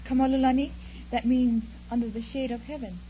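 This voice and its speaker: A woman from Hawaii gives short, polite answers as a quiz contestant. Her voice is a bit high and mellow, on a dull vintage radio broadcast with faint noise. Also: fairly even intonation, brisk. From Honolulu, Hawaii.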